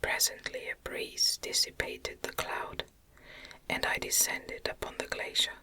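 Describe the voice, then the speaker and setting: A woman narrates a classic gothic novel entirely in a whisper. Her delivery is very slow and gentle, with soft, measured phrasing and a crisp, very bright breathy edge suited to ASMR. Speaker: audiobook narrator.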